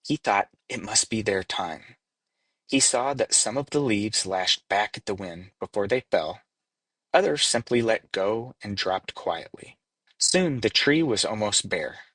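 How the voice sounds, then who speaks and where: A man's voice: fairly low pitch, expressive, measured pace, fairly bright tone, dry recording. A man narrating a storybook as a scripted read-aloud. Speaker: storybook reader.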